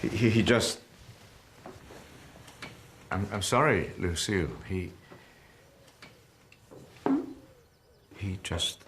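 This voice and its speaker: An actor playing an elderly comedian, anxious and grieving at his friend's deathbed, halting and pleading in a naturalistic drama. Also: low voice, a bit bright, slight background noise. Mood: faltering, earnest. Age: older. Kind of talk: radio-play style naturalistic drama.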